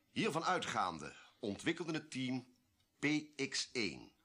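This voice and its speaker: Een acteur die in een hoorspel een beleefde, gezaghebbende legerkolonel speelt die beheerst dingen uitlegt. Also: male voice, medium pitch. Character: smooth-talking, authoritative army colonel from the ministry. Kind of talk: radio play acting.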